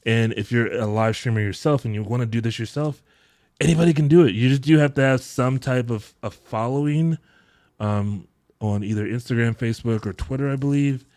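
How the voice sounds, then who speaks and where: A man in his thirties hosting a live tech-deals stream from his home setup, chatting in a relaxed, rambling way with frequent chuckles into a close boom mic. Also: brisk, no room sound. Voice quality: deep voice. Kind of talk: casual live-stream chat. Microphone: boom mic close to mouth, headphones on.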